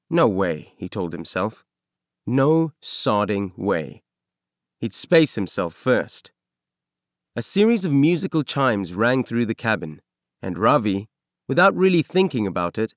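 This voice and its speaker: A man reading a science fiction novel aloud as scripted narration, in measured, expressive storytelling. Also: medium pitch, no echo, studio quality. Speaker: audiobook narrator.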